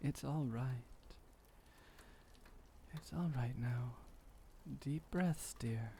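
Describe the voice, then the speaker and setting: A tender, courtly male vampire , played by a man, murmurs comfort in a very unhurried, dark and velvety voice. His phrasing is formal and old-world, delivered intimately as ASMR roleplay over light background noise. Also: mid-range voice. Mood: concerned, tender. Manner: soft, soothing, old-fashioned formal phrasing, breathy sighs.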